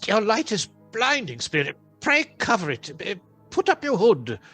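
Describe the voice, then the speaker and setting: An old miserly gentleman in a theatrical radio play. His formal, old-fashioned phrasing swings widely in pitch and is easily stirred to expression. Faint music plays behind him. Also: normal speed, breathy edge, full character voice. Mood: nervous, querulous. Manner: formal, archaic, expressive delivery.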